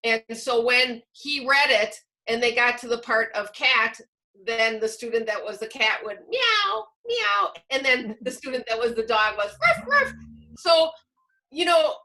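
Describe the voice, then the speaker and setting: A woman presenting an online teacher training, speaking loudly and encouragingly as she explains and answers questions informally. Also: high-pitched, low-quality recording. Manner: loud, projected, encouraging. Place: online video call.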